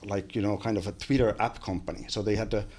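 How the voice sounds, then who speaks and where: A man in his forties with a Finnish accent speaks in a lowish register as a podcast guest. He talks with frequent ums and restarts, close to a studio microphone in a small bright room. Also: steady tone, fast. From Finland. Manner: hesitant, many fillers and restarts. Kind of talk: casual interview chat. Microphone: studio mic on boom arm.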